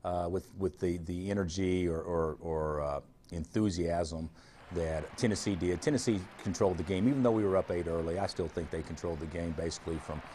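A man's voice: sits low, fairly quick, warm tone, slight background noise. A man in his forties, a college basketball coach, answering TV interview questions frankly, often restarting and repeating words. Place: studio remote booth.

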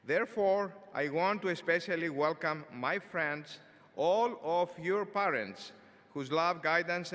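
An older man delivers a formal commencement address from a podium in a huge arena, his words trailing off into a long reverb. His voice is bright and sits a little high. He speaks in a measured, deliberate way, with an occasional filler word. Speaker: engineering school dean. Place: large arena stage at a podium. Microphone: podium microphone.

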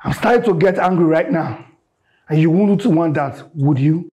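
A man's voice: on the high side, moderate pace, mellow, natural voice. An actor playing a cruel, violent stepfather who taunts and threatens. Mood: menacing.

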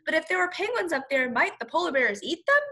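A woman's voice: extremely high pitch, very animated, fast, pushed and bright, voice pushed up, heavily acted. A woman voicing an earnest, polite, easily astonished man in a storybook, eager and exclaiming.

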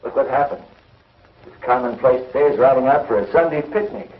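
An actor playing a bold young space pilot, confident and plain-spoken, in a 1950s television serial. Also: male voice, medium pitch, fairly quick, roomy sound, recorded from a distance, natural voice. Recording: muffled 1950s film soundtrack.

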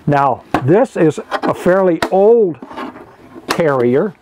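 Higher-pitched older man, halting explainer. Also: expressive, noisy background. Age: 70s. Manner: halting, self-correcting, explanatory. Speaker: archivist presenting a collection. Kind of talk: informal show-and-tell explanation. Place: indoor archive room with packed shelves. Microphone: lapel mic.

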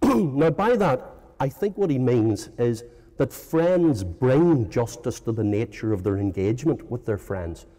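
A man's voice: medium pitch, expressive, measured pace, warm tone. A middle-aged man with a strong Northern Irish accent, giving a conference lecture at a lectern with many ums and uhs. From Northern Ireland. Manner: hesitant, many ums and uhs, self-correcting. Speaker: philosophy professor giving a lecture. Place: large conference hall. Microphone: lectern microphone. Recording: long hall reverb.

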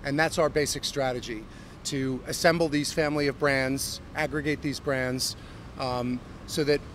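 Middle-aged American CEO, polished business pitch with filler words, outdoor street interview, traffic audible. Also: male voice, fairly even intonation, moderate pace, a bit bright. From United States. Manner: fluent corporate pitch with frequent um and uh. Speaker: company CEO. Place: busy downtown street outdoors. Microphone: handheld mic held by interviewer. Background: city traffic.

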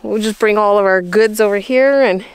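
A woman's voice: medium pitch, normal speed, clean tone, poor audio. Chatty woman vlogging on a frozen lake. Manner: chatty, laughs easily. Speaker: outdoor vlogger. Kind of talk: casual vlog narration. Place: frozen lake outdoors, then inside an ice fishing tent.

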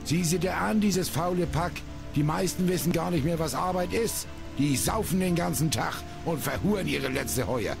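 A man's voice: fairly high pitch, normal speed, gravelly. An actor playing a grumbling old retired sailor and lighthouse keeper in a video game, with folksy seaman's talk. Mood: indignant. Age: older. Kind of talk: video game character dialogue. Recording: music under the voice.

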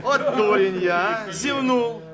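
An actor playing a simple, earnest and proud sailor in a 1970s Soviet radio play, talking in a colloquial, halting way. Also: male voice, high-pitched, over a noisy crowd. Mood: excited, bantering.

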